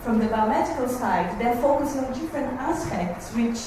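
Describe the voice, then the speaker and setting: Brazilian woman giving a talk, bit higher voice, far off mic in an echoey noisy room. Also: lively intonation, moderate pace. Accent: Brazilian Portuguese. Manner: earnest, warm to the families, slightly nervous. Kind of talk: talk to a support group. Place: meeting room, recorded from far off.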